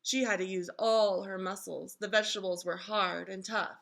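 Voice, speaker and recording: A woman reading a children's storybook aloud in an expressive, instructive way, like a teacher engaging young listeners. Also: medium pitch, normal speed, creaky.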